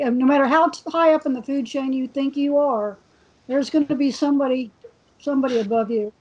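A woman on an online panel, thinking aloud in slow, drawn-out phrases. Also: high-pitched, darkish tone, husky. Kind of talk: casual panel discussion. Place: home room. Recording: muffled video call audio.

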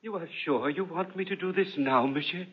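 A man's voice: a little high, a little fast, husky. An actor playing a humble, hesitant, deferential tradesman in a 1940s radio drama. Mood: uneasy obedience. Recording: dull, band-limited 1940s radio recording.

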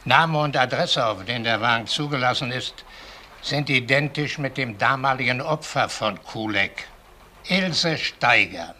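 A man's voice: mid-range voice, unhurried, bright, forceful tone, a little hiss or hum. An actor playing a shrewd, precise older retired policeman in a German crime radio play. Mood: precise, recounting.

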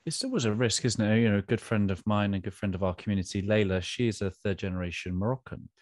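Low man, dry close-miked sound. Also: quick pace, a little mellow, no room sound. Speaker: podcast host. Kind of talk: casual, thoughtful interviewing. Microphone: large studio microphone close up, headphones.

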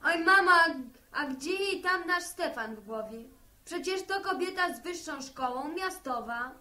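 Very high, bright farm girl. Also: lively intonation, measured pace, full character voice. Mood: protesting. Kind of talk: Polish radio serial acting, 1960.

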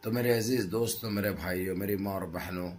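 A middle-aged man with a hoarse throat gives impassioned political commentary to his viewers in emphatic, repetitive, rhetorical phrases. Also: low voice, fairly even intonation, brisk, a little mellow. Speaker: news commentary vlogger. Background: background music.

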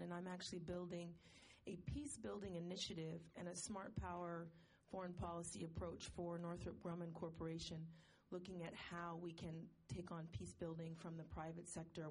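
A woman in the audience of a panel discussion, making a long, earnest comment in a measured way. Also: lowish voice, flat delivery, darkish tone, rough recording. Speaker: audience member asking a question. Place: conference hall audience.

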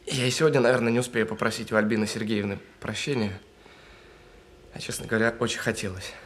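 An actor in an audio drama playing a guilt-ridden bomb technician on the phone, speaking haltingly and earnestly. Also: male voice, fairly low pitch, steady tone, a little hiss or hum, close to an everyday voice. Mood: remorseful, resigned. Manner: halting, earnest, apologetic, with stammered restarts. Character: guilt-ridden male bomb defuser saying goodbye by phone.

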